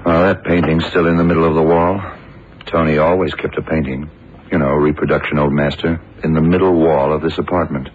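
An actor in a 1970s radio drama playing a shrewd, brooding detective who talks in deliberate, clipped phrases. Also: male voice, a bass voice, little pitch movement, a little hiss or hum. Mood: quietly intent. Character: shrewd, brooding male police detective in charge. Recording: muffled old radio broadcast, background din.

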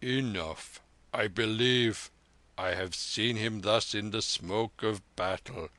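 A man's voice: lowish voice, slow, bright, forceful tone, no echo. A man doing a solemn old soldier. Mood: awed. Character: old retired soldier, stiff, solemn and awed.

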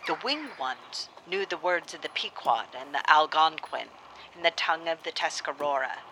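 A woman playing a solemn, proud keeper of old traditions in a radio drama, speaking in a measured, deliberate way. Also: sits low, lively intonation. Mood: solemn, hushed. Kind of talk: radio drama acting, storytelling interview. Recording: faintly noisy old cylinder recording.

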